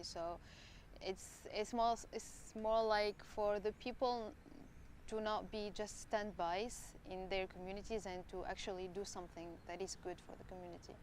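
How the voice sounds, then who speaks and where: A woman in her twenties from Tunisia, a volunteer giving thoughtful interview answers and pausing to find her words. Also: mid-range voice, slight background noise. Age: 20s.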